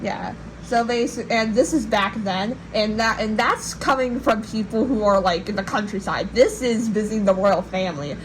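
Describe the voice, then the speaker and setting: A woman's voice: mid-range voice, moderate pace, few pauses, slight background noise, rough recording. A woman casually retelling a legend to a co-host in a rambling, informal and playful way. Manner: informal, rambling, playfully acting out sounds and voices. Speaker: podcast host and storyteller.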